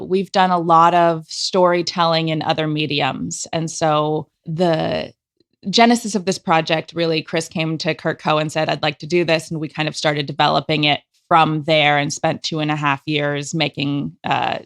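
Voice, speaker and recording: A woman's voice: on the low side, fairly bright tone. A woman speaking as a podcast guest, giving conversational answers about her creative work. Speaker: podcast guest, audio drama director.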